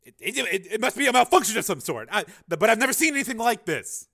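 A man's voice: high-pitched, sing-song, moderate pace, vocal fry, bright, forceful tone, dry recording, clean studio sound, voice pushed up, exaggerated voice. A man voicing a frightened, defensive scientist who stammers and pleads in an audiobook thriller. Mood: flustered.

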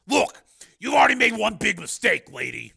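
A man's voice: high voice, big pitch swings, normal speed, vocal fry, bright, forceful tone, husky, pitched above the performer's own voice, exaggerated voice. A man voicing a blustering, impatient lawman in an audiobook, blunt and exasperated. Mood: cocky, threatening. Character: blustering, impatient male marshal, cocky lawman.

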